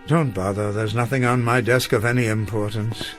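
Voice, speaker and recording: An actor playing a weary, self-doubting elderly schoolteacher, speaking formally and eloquently in a radio drama. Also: male voice, on the low side, fairly even intonation, normal speed, faint music behind, close to an everyday voice. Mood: resigned.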